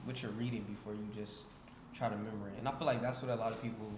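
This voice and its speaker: A man co-hosting a podcast, chiming in with quick, agreeable replies. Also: lowish voice, flat delivery. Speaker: podcast host. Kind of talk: casual chat. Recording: echoey room with clear background noise.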